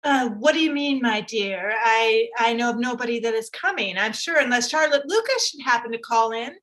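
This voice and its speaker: Woman acting a fussy middle-aged mom in a period play, high sharp voice, flustered and complaining. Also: normal speed, lightly acted. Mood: anxious. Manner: fluttery, exclamatory, complaining. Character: fretful, excitable middle-aged wife and mother. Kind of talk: theatrical staged reading of period drama.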